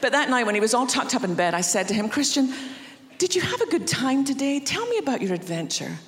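A woman's voice: high voice, sing-song, quick pace, slight background noise. Scottish woman preaching in a reverberant hall. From Scotland. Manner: warm anecdotal humor, acts out quotes. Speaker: guest preacher. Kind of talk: sermon storytelling. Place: large church auditorium.

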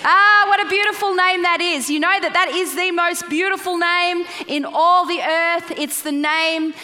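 A woman's voice: extremely high pitch, fairly even intonation, a little slow, sharp tone, long reverb tail. An Australian woman in her forties, a guest pastor giving a chatty, playful sermon and prayer and asking the crowd questions. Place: large church auditorium.

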